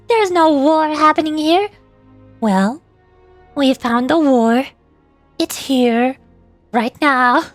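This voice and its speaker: A woman's voice: high-pitched, no echo. A woman doing casual livestream commentary on a game, chatty and playful as she talks to her viewers. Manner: chatty, reacting to chat and playfully calling out moves. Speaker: VTuber streamer playing a game.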